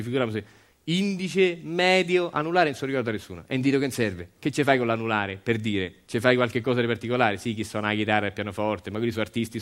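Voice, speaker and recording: A man's voice: medium pitch, fairly bright tone, lightly acted. A man playing a blunt, cynical factory worker in a monologue, talking colloquially and insistently with a Roman accent. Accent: central Italian, Roman.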